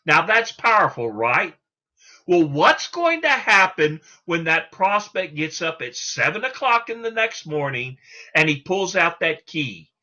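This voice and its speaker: A man's voice: a little high, sing-song, measured pace, sharp tone. A man giving an instructional talk as a sales coach, emphatic and salesman-like, putting rhetorical questions to the listener. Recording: dull, lo-fi sound.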